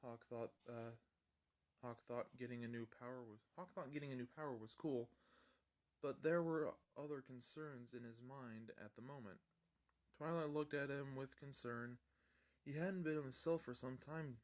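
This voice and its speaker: A man's voice: mid-range voice, normal speed. A man narrating a fan fiction story in an amateur style, often stumbling and rereading his lines.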